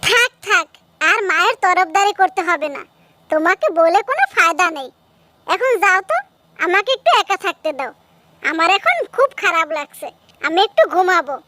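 Woman acting a high, defiant young wife. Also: sing-song, moderate pace, bright, forceful tone, full character voice. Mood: aggrieved. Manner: complaining, answering back. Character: aggrieved, defiant young daughter-in-law. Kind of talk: cartoon dub acting.

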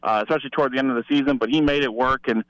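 Smooth-voiced man talking football. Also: medium pitch, steady tone, rapid-fire, a bit bright, no room sound, lo-fi sound. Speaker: sports reporter, guest analyst. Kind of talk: conversational football analysis.

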